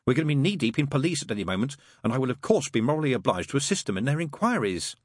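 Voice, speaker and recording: A man voicing a prim, gentle male angel in an audiobook, with a proper and sweetly earnest manner. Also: mid-range voice, sing-song, very fast, no room sound, studio quality, pitched above the performer's own voice. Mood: fussy, urgent.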